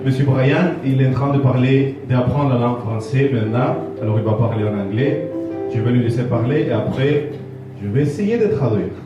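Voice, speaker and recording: Mexican accented guy chatting to a crowd, halting, super echoey, guitar music loud behind. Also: mid-range voice, muffled recording. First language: Spanish. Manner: halting second-language speech. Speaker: guest speaker and interpreter. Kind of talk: informal audience chat. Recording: very echoey room, distant mic, loud music.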